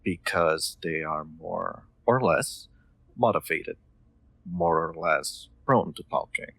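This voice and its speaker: A man playing a kind, soft-spoken master in an intimate comfort roleplay, gently reassuring with formal, slightly hesitant phrasing. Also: sits low, slow, vocal fry, dry recording, exaggerated voice. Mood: tender, persuasive.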